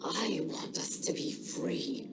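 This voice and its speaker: A woman voicing a proud, weary alien leader in a video game cutscene, solemn and deliberate, with a breathy rasp. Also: in a whisper, lightly acted. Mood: solemn, yearning.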